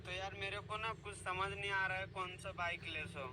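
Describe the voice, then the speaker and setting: A man's voice: sits high, fairly even intonation, fairly quick. A man making a prank phone call in a casual, coaxing way, carrying on regardless of questions. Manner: coaxing, keeps up the act, ignores questions. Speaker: prank caller. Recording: very noisy outdoor recording with vehicle sounds.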